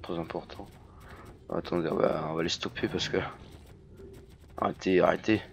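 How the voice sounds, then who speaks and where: A hoarse man chatting casually as he plays a video game, full of fillers and restarts. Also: low voice, fairly even intonation, normal speed, slight background noise. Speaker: gaming YouTuber. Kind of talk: casual let's-play commentary.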